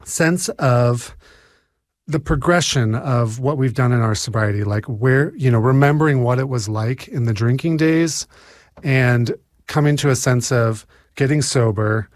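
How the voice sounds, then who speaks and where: A man's voice: mid-range voice, a little slow, dry recording, clean studio sound. A man in his forties with an American accent, telling his recovery story in a casual, hesitant way with many fillers and restarts. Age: 40s. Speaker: speaker sharing recovery story. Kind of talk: casual personal share.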